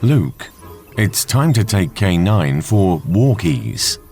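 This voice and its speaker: A man playing a calm, courteous male supercomputer in an audio drama. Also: low-pitched, slow pace, soft background music, exaggerated voice. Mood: measured, grateful. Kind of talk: fan audio drama acting.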